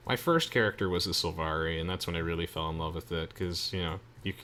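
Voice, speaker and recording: A man's voice: low-pitched, quick pace, sharp tone, a little hiss or hum. A young man hosting a let's play, chatting casually with friends as he comments on the game. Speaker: host of a let's play video. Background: game music.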